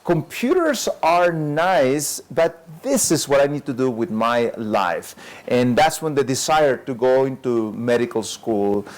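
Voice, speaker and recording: A man who is a lifestyle medicine doctor, telling his story as an interview guest in a relaxed way, with many fillers and frequent chuckles. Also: mid-range voice, unhurried.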